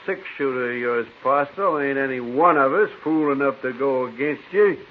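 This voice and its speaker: An American actor playing a domineering, threatening outlaw gang leader in an old radio western. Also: male voice, medium pitch, normal speed, close to an everyday voice. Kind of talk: 1950s radio western acting. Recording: tinny, noisy old radio recording.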